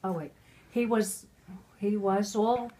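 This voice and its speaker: A woman's voice: mid-range voice, slow, dark tone. A middle-aged woman, very drunk, reading a Christmas storybook poem aloud, stumbling over words and breaking off into irreverent asides. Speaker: mother reading a Christmas poem to her family.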